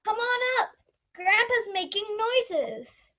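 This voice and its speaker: Slow, high-pitched know-it-all kid acted by a woman. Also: very animated, noisy background, full character voice. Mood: matter-of-fact. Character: matter-of-fact, know-it-all young grandchild. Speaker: student radio play performer. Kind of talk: amateur radio play acting.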